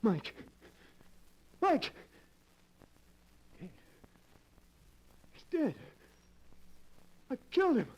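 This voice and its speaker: Husky, desperate radio-drama everyman. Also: very high voice, huge pitch swings, very slow, pauses often, muffled recording, heavily acted. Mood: horrified. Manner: anxious, pleading, breathy outbursts. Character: desperate, guilt-ridden locksmith, nervous reluctant male criminal. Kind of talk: 1940s radio melodrama acting.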